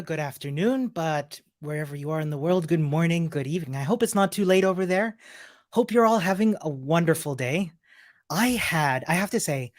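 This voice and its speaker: Upbeat woman teaching a live coding class. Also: on the low side. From Chile. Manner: upbeat, chatty, greets viewers by name and place. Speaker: coding instructor. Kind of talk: live-streamed coding lesson.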